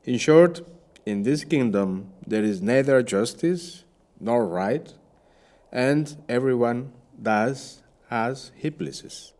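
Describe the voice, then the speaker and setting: An actor playing a shipwrecked Spanish captain in an audio play, a courtly flatterer with a florid, theatrical way of speaking. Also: male voice, medium pitch, slow pace. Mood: wry, descriptive. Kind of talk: audio play acting and narration.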